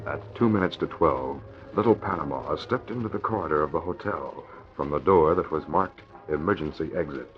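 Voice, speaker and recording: A man's voice: a bass voice, brisk, few pauses, over music. A man narrating a scripted 1940s radio crime drama with dramatic storytelling delivery. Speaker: announcer-narrator. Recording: tinny, narrow old radio recording.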